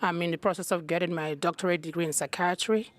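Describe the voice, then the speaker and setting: A woman's voice: lowish voice, fairly even intonation, fast. A woman from Nigeria with a Nigerian accent, answering questions as an interview guest. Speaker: interview guest, healthcare provider.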